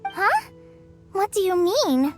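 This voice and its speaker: Teenage girl, high pitch, gentle, earnest, lively intonation, polite, game acting. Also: slow, music in the background, heavily acted. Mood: earnest, thoughtful. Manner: polite, tentative. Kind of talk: video game character acting.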